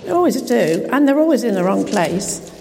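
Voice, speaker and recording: A woman preacher with a croaky voice, giving a chatty, informal sermon into a PA microphone in a church hall, with self-deprecating asides. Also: mid-range voice, sing-song, moderate pace, a little mellow. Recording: reverberant hall with faint noise.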